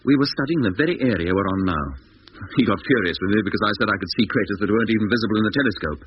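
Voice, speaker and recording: An actor playing an earnest, sometimes dazed moon expedition captain in a 1950s radio drama. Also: male voice, on the low side, very fast, close to an everyday voice. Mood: dazed, wondering. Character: rocket ship captain, dazed yet commanding male moon explorer. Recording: dull, hissy 1950s radio recording.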